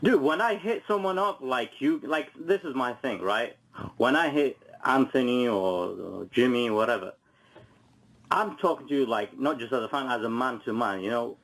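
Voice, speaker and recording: A man from Iraq, a podcaster on a talk radio show, combative and profane and given to yelling insults. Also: mid-range voice, lively intonation, moderate pace, poor audio. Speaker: podcaster on a call-in. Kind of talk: heated talk radio guest.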